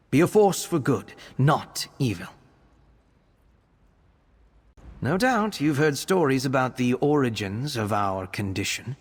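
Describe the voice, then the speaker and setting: A man playing an earnest, bookish young male vampire alchemist in a video game, speaking in an articulate, expressive way. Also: mid-range voice, breathy edge, in a large hall. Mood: earnest, resolute. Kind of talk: video game character voice acting.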